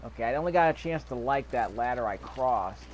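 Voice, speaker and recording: A man's voice: medium pitch, animated, a little fast, slight background noise. A man giving casual gameplay commentary, thinking aloud to himself as he plays. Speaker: gamer recording a gameplay video.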